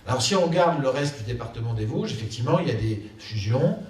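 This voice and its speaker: A man giving a political speech as a local elected official, measured and emphatic, repeating key phrases for stress. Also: medium pitch, lively intonation, a little mellow. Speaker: local elected official addressing a town council. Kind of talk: political speech at a council meeting. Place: council chamber, indoors. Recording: echoey room, distant mic, faint background noise.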